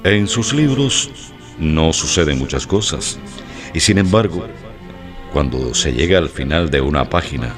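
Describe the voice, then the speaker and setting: An actor playing an appreciative, measured man of letters in a radio drama. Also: a bass voice, exaggerated voice. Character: appreciative, measured literary man praising a novelist.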